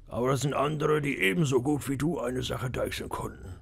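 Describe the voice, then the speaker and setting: A man voicing a blunt, rough pirate sailor in an audiobook, gruff in manner. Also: mid-range voice, fairly quick. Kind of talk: audiobook character voice.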